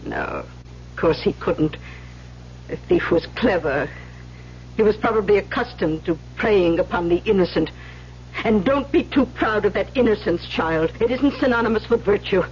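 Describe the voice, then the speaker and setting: An actress playing a stern older Mother Superior in an old radio drama, scolding in sharp, weighty questions on a muffled, humming recording. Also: medium pitch, moderate pace, a little hiss or hum, lightly acted.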